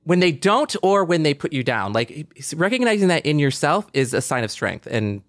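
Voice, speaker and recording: A man's voice: on the high side, big pitch swings, normal speed, a bit bright, no echo, clean studio sound. A man co-hosting a podcast in casual conversation, with an earnest, supportive way of talking. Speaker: podcast co-host.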